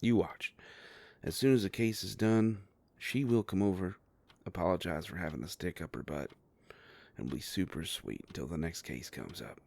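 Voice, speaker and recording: A man playing a sardonic, grumbling werewolf private investigator with a soft heart, in an audio roleplay drama. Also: normal speed. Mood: wry, reassuring. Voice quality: low gravelly voice.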